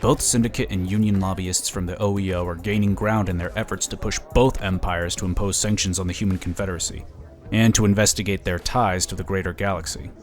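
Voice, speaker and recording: An actor playing a slick, smug lobbyist in a sci-fi audio drama, chatty and condescending. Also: male voice, low-pitched, steady tone, a little fast, lightly acted. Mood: confiding, scheming. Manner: chatty, smooth-talking, condescending. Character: smug, slick, scheming male political lobbyist.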